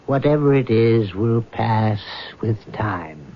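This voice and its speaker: An actor playing a calm, probing middle-aged family doctor in a radio drama, speaking in a measured, questioning and firm way. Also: male voice, lowish voice. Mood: measured, grave. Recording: muffled, noisy old radio broadcast.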